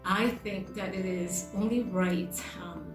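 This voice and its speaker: A woman's voice: medium pitch, steady tone, slow pace. A woman in her fifties, a dentist, giving an earnest, considered statement about volunteering. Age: 50s. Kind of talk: recorded personal statement. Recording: roomy with music bed.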